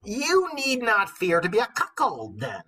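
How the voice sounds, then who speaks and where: A man voicing a sly, scolding old wife from a storybook verse tale, in a theatrical, emphatic way with drawn-out phrases. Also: high voice, wildly swooping pitch, sharp tone, voice pushed up, full character voice. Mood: teasing, suggestive. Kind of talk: storybook verse read aloud in character.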